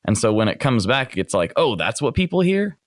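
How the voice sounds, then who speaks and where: A man's voice: mid-range voice, very animated, a little fast, no echo, studio quality. A man hosting a podcast, chatting in a friendly way with a radio announcer's voice. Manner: chatty, friendly, thinks aloud. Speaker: podcast host. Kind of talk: casual interview.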